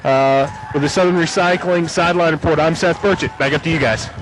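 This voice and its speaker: A man's voice: a little high, steady tone, rough recording. A man giving an injury update from the sideline of a football game, with lots of uhs. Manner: halting, filler-laden delivery. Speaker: sideline reporter.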